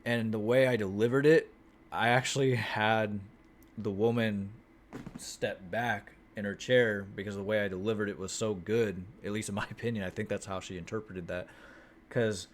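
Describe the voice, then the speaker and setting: Man, low voice, monotone, casual swearing vlogger, faint background hum. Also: normal speed. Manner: monotone, swears freely, frequent restarts. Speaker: acting student vlogger. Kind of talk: casual chat. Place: Houston, Texas.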